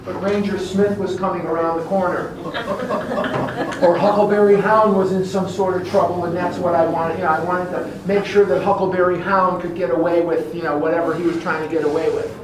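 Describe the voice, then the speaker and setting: Echoey, high-pitched Chicago man giving testimony. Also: fairly even intonation. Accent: Chicago American. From Chicago, USA. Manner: emphatic, deliberate, repeats phrases for effect. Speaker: guest speaker at recovery meeting. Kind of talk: personal testimony speech to a group. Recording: very echoey room, distant mic, clear background noise.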